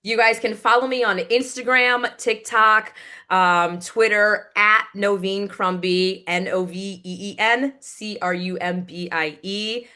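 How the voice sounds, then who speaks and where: A woman voiceover actress speaking as an interview guest, with a powerful voice and fast, crisply enunciated speech over a video call. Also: medium pitch, fairly even intonation, pushed and bright. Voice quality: powerful, clear voice. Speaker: voiceover actress and award show announcer.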